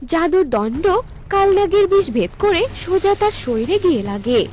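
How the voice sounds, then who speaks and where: High-pitched woman narrating fairy tales. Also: very animated, moderate pace, darkish tone, slight background noise. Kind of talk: scripted cartoon fairy-tale narration. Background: music.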